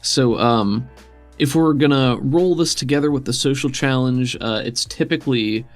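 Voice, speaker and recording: A man's voice: mid-range voice, moderate pace. Man explaining game rules over faint music. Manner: conversational, explanatory. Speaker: game master.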